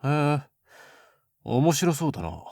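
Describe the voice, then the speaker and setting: A man playing a cool, curt high school boy with rough, blunt speech in an anime-style voice drama. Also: sing-song, takes it slowly, darkish tone, no room sound, studio quality, heavily acted. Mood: aloof, tired. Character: cool, gruff high school heartthrob boy, secretly flustered. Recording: music behind the voice. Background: background music.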